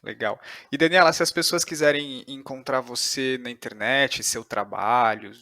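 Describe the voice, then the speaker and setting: A man's voice: medium pitch, normal speed, pushed and bright. A Brazilian man hosting a podcast interview in a casual, conversational style.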